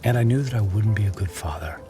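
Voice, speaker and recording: A man's voice: on the low side, quick pace, natural voice. An actor playing a humbled, middle-aged ex-husband in a radio drama, apologetic and pleading, stammering as he goes. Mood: regretful. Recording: music bed and rain behind.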